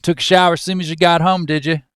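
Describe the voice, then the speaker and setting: Guy trying an aussie office mate, cheeky and nosy, matey slang, raised pitch, dry sound. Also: little pitch movement, fast talker, fairly bright tone, clean tone, no room sound, lightly acted. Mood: cheeky, pushy. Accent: attempted Australian. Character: cheeky, nosy, square-jawed Australian male office mate.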